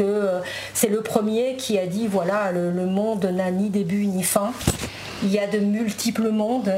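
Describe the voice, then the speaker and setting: A woman's voice: mid-range voice, a little mellow, slight background noise. Hesitant woman, native Spanish speaker. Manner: hesitant, many fillers and restarts. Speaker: guest. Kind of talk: casual interview chat.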